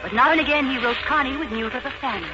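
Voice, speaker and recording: An actress playing a young Irish housemaid in love, with an Irish accent and earnest, emotional 1940s radio acting. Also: female voice, high-pitched, racing, loud music behind the voice, natural voice. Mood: wistful. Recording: noisy 1940s radio broadcast, muffled.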